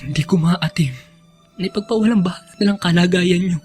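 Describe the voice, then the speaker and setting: A man's voice: high voice, brisk, darkish tone, soft background music, lightly acted. A man playing a stubborn, devoted, emotional young son in a Filipino radio drama, with a Filipino accent. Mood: tender, earnest.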